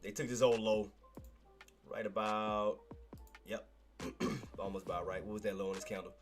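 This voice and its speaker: A man's voice: fairly low pitch, level tone, normal speed, soft background music. A man with a congested nose hosting a live trading stream, casually walking through chart levels and repeating key points to his chat. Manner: casual, repetitive, talks to chat as "boss". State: nasal congestion. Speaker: trading livestream host. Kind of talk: live stock chart commentary.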